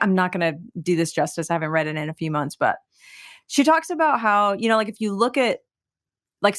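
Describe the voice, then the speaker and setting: A woman from the United States, a singer-songwriter guest in a conversational interview, talking in an animated way as she searches for her words. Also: on the low side. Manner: animated, searching for words, many fillers and restarts.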